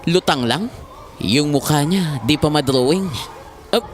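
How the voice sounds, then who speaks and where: A man's voice: a little high, unhurried, lightly acted. An actor playing a good-natured, easily flustered young male university student in a radio drama, with a Filipino accent. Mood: puzzled, indignant.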